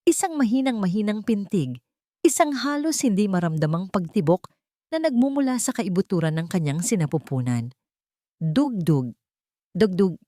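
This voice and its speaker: A woman narrates a scripted dramatic story in deliberate, suspenseful phrases. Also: medium pitch, animated, dark tone, no echo, clean studio sound.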